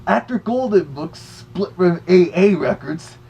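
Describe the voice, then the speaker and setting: A man's voice: high voice, lively intonation, measured pace, creaky, warm tone, husky, heavily acted. A man voicing a cartoon Big Bad Wolf who gives chatty, slightly hesitant commentary as a record reviewer. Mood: chatty, informative.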